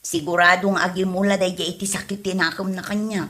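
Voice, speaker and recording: A woman's voice: lowish voice, flat delivery, brisk, a bit bright, close to an everyday voice. A woman with a Filipino accent acting an apologetic, exasperated farmer's wife in a podcast comedy drama. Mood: aggrieved.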